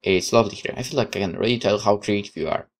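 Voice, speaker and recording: A woman's voice: very deep voice, fast talker. A woman playing a naive, awkward, earnest young male soldier in a visual novel game. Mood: reassuring.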